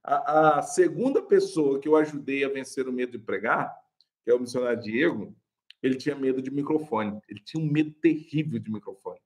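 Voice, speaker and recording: A young adult man with a Brazilian Portuguese accent, a pastor teaching a live online class on preaching in an animated, chatty way, laughing often and calling viewers "gente". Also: mid-range voice, brisk.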